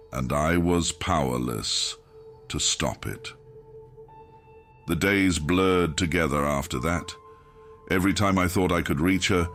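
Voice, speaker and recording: Male narrator, extremely low pitch, grave and brooding, scripted horror audiobook over music. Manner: grave, brooding, dramatic first-person telling.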